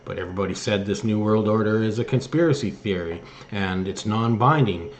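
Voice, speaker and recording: Halting low-voiced man, noisy recording. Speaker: host of an online political news commentary show. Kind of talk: political opinion commentary, partly read from articles.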